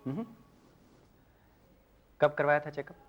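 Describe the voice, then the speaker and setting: A man playing a calm, joking film doctor. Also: fairly high pitch, measured pace, highs cut off, natural voice. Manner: reassuring, lightly teasing, mixes English phrases. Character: calm jovial male doctor. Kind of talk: 1990s Hindi film acting. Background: film score music.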